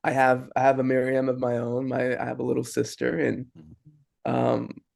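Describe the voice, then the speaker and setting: A young man, an actor, thinking aloud haltingly in an interview and repeating his words. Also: medium pitch, completely flat delivery, vocal fry, darkish tone. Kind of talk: casual interview chat.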